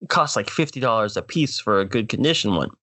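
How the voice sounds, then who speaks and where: A man's voice: on the low side, lively intonation, fast talker, sharp tone, no echo. A man hosting a podcast, delivering a comedic rant in a mock-dramatic, exasperated way, straight to the listeners. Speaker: podcast host.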